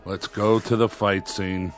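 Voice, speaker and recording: An actor playing a brisk TV director giving orders to cast and crew. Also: male voice, lowish voice, flat delivery, faint music behind, natural voice. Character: brisk weary male TV director.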